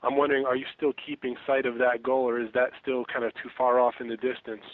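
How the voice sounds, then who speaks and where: A man's voice: medium pitch, level tone, fast, noisy background. A man asking conversational questions as a sports reporter on a conference call. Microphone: telephone. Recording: narrow muffled phone conference line.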